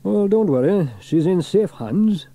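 Storybook fireman, put on by a male reader: hearty, dependable man, raised pitch, animated. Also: measured pace, full character voice. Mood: reassuring. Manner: cheerful, dependable. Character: hearty, reassuring adult male fireman hero.

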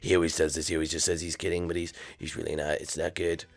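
A bossy Koopa big brother, voiced by a man, deep-voiced and blunt. He lays down the rules flatly in a cartoon skit, no nonsense. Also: fast talker. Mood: grumbling.

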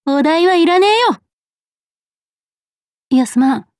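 A woman plays a scruffy, tomboyish gunner from a game. The voice is high and unhurried, with rough, offhand, boyish phrasing. It is recorded very dry. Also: expressive, no room sound, full character voice. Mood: offhand, breezy. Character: scruffy tomboyish gunner minding shop, blunt and laid-back. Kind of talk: Japanese game character voice acting.

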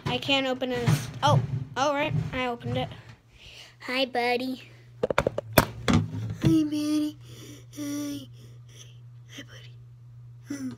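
Female child vlogger, high-pitched and unhurried, informal home-tour talk, noisy handheld phone audio. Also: little pitch movement. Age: child, about 10 to 12. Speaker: amateur vlogger. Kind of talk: casual home tour vlog chat. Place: inside a house, moving from room to room. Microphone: handheld phone at arm's length.

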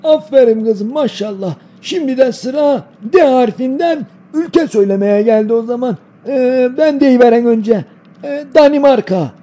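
Man as a high-pitched doting old Denizli grandpa. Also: expressive, measured pace, mellow, full character voice. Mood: encouraging. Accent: Denizli, southwestern Turkey. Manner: folksy, doting, encouraging. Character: kindly old village grandfather quizzing his grandchildren. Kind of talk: educational cartoon dialogue.

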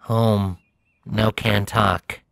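A man's voice: on the low side, flat delivery, drawn-out words, gravelly, fairly bright tone, no room sound, clean studio sound, full character voice. A man voicing an earnest robot space rover that speaks in clipped, broken, machine-like phrases. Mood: subdued.

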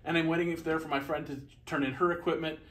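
A man's voice: on the high side, level tone, quick pace, a bit bright. A middle-aged man with a North American accent chatting and telling stories casually, in a joking, matter-of-fact way, a few feet from the camera in a garage workshop. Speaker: vlogger and workshop tinkerer. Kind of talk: casual storytelling and chat. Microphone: camera a few feet away.

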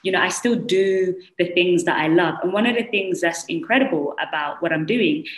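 Animated British woman on a video call. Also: on the low side, moderate pace, reverberant, rough recording. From United Kingdom. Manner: animated storytelling, many "you know"s. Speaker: panelist, entrepreneur. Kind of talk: video call panel interview. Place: small room indoors. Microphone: earphone mic on a video call.

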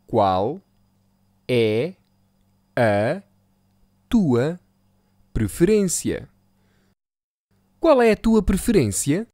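A speaker with a European Portuguese accent, slowly repeating phrases word by word for learners. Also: mid-range voice, big pitch swings, warm tone, no echo, studio quality. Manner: slow, carefully articulated word-by-word repetition. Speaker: language teacher. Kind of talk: slow repetition drill for learners.

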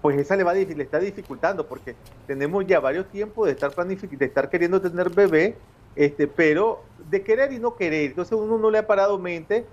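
A man's voice: a little high, lo-fi sound. A man in his fifties with a Nicaraguan accent, a gynecologist explaining things patiently on a TV show by video call from home, with many eh fillers. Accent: Nicaraguan Spanish. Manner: explanatory, with frequent eh fillers and restarts. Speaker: gynecologist guest. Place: home office with bookshelves.

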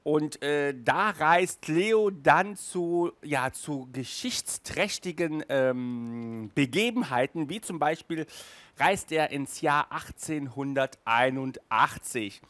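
A middle-aged man presents a casual review in an enthusiastic, chatty way. He takes his time as he talks, in a voice a little higher than most men's. The sound is dry, picked up by a headset mic in a small room. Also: animated, takes it slowly, fairly bright tone, no room sound. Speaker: host of an audio drama review show. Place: small furnished room indoors, on a couch.

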